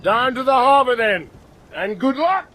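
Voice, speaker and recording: A man acting a stubborn, unflappable British naval commander in a radio drama, with a measured, formal, commanding British delivery. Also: extremely high pitch, animated, pushed and bright, a little hiss or hum, full character voice, poor audio. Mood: calm, commanding.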